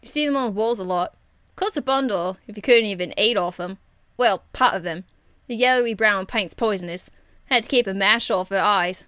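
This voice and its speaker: A woman voicing a cheeky, irreverent young female rogue archer in an amateur game voice demo, blunt, slangy and excitable. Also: medium pitch, moderate pace, clean tone, dry recording, studio quality, natural voice.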